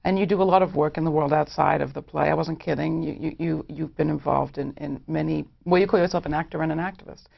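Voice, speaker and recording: A woman interviewer speaks in a measured, conversational style, reworking her questions as she goes. Her voice has plenty of vocal fry. The audio is a little dull and lo-fi. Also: low voice, fairly even intonation. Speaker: interview host.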